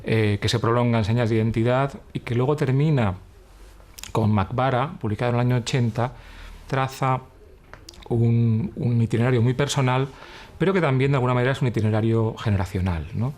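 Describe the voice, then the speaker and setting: A man with a mid-pitched voice gives thoughtful commentary in a documentary interview. He pauses between phrases as he builds his analysis. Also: a little mellow. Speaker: literary commentator.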